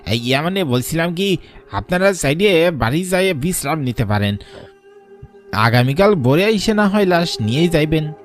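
A man voicing a deferential hospital morgue guard in a cartoon, speaking politely and haltingly in a rustic manner. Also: a little high, lively intonation, faint music behind, close to an everyday voice. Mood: hesitant. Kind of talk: cartoon voice acting.

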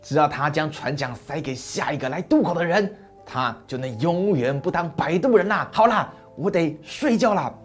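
A man voicing a weary male devil who talks quietly and whines for sleep. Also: a little high, lively intonation, normal speed, faint music behind, natural voice. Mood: dismissive. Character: sleepy grumbling male devil, later greedy king.

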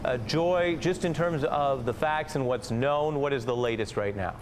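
A man in his thirties anchoring live cable news from a studio, asking measured, formal questions of his guests. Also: mid-range voice, a little hiss or hum.

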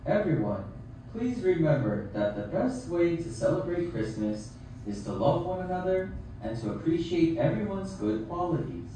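A man's voice: on the high side, very animated, normal speed, mellow. Man playing a kind puppet Jesus, very echoey. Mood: grateful, warm. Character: kind, fatherly puppet Jesus praising everyone lovingly. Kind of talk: children's puppet show acting. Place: theatre, behind puppet stage curtain. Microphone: far camera. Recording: very echoey hall from far off, noisy.

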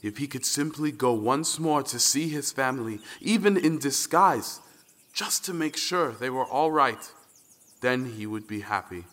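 A man narrating a scripted folktale in a deliberate, dramatic manner. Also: mid-range voice, expressive, a bit bright. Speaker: storyteller. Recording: long reverb, clear music underneath. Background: music.

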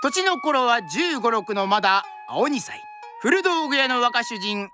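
A woman's voice: on the high side, lively intonation, unhurried, bright, forceful tone. A woman playing a theatrical traditional storyteller, narrating a drama in a formal, declamatory way. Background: music.

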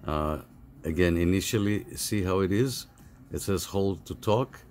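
A middle-aged man giving a casual, halting, step-by-step phone app tutorial over some background noise. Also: low-pitched, a little mellow. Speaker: tutorial host.

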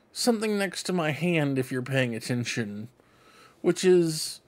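A middle-aged man hosting a fan vlog, giving casual show-and-tell commentary in a rambling, conversational way. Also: a little high. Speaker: host of a fan book club vlog. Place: cluttered room indoors at home. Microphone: desk microphone close in front.

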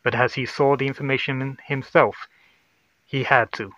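A man's voice: medium pitch, fairly even intonation, normal speed, a bit bright, no echo, highs cut off. A man narrating a scripted sci-fi horror story.

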